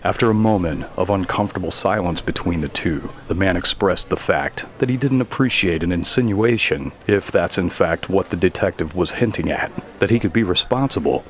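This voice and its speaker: Low-voiced male story narrator. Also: lively intonation, a little fast, hardly any pauses, a little hiss or hum. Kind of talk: scripted narration of a mystery story. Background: faint music.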